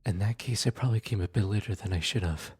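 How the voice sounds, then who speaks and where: A performer playing a gentle, awkward demon soul retriever in an ASMR audio roleplay, speaking softly and hesitantly, often close to a whisper. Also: low voice, husky, no echo. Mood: uneasy muttering. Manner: hesitant, halting, sighing, muttering to self.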